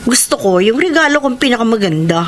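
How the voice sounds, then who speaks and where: A woman's voice: fairly high pitch, very animated, fast. An actress playing an insecure, competitive young career woman, a resentful younger sister, in a radio drama. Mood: resentful, eager. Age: young adult. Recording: noisy radio drama recording.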